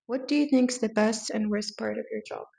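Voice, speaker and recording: A woman's voice: on the high side, fairly even intonation, a little fast, vocal fry, a little mellow, slightly rough. A young adult woman hosting a podcast, reading prepared questions with hesitant ums. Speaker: podcast host.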